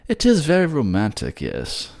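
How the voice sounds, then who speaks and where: A man's voice: mid-range voice, huge pitch swings, moderate pace, gravelly, darkish tone, voice pushed up. Man playing a lilting, flamboyant fantasy noble. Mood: warm, admiring. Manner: effusive, teasing, calls listener pet names. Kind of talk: fantasy audio drama acting.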